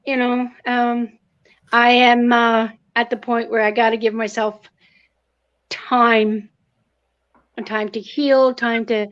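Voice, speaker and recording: A woman with a fairly high voice talks slowly and reflectively in casual conversation. She sounds appreciative, and the video-call audio is dull and lo-fi. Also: level tone, clear, resonant tone. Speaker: guest receiving a reading. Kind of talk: casual chat.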